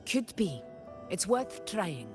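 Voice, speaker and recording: Composed, expressive female adventurer. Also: mid-range voice, takes it slowly, over music. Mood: pensive. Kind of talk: video game voice acting.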